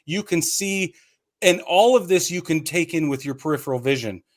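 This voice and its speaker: A man's voice: on the high side, lively intonation, normal speed. A man co-hosting a casual sports podcast, explaining his opinions point by point in conversational talk. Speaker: podcast co-host.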